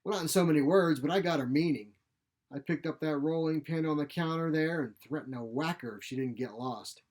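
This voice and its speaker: A man voicing a feisty, sharp-tongued elderly mother in a short story, blunt, folksy and grumbling. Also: a little high, a little fast, pitched above the performer's own voice, natural voice. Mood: indignant. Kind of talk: short story character reading.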